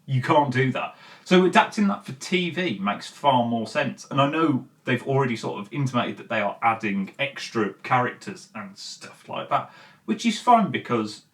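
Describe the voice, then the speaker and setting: A man's voice: mid-range voice, very animated, normal speed, a bit bright. Blunt, sweary man giving casual film commentary. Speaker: host of a film commentary show.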